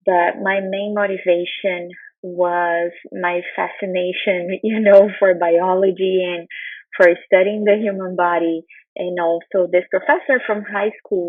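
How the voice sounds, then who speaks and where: A woman's voice: lowish voice, no echo. A woman in her thirties from Brazil with a Brazilian Portuguese accent, giving an earnest conference talk as a guest speaker, with many ums and uhs. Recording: tinny phone-like line.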